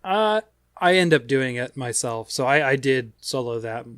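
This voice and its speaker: A man hosting a casual gaming podcast, talking in a rambling, halting way. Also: medium pitch, expressive, a bit bright, dry recording, studio quality. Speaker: podcast host.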